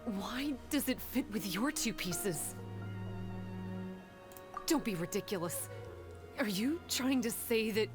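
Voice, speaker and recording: A woman's voice: medium pitch, animated. A woman voicing a guarded, solemn young female creature in a video game, formal and grave, bitter toward humans. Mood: grave, sorrowful. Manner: formal, grave, measured wording. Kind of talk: video game character dialogue. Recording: game music behind the voice. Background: background music.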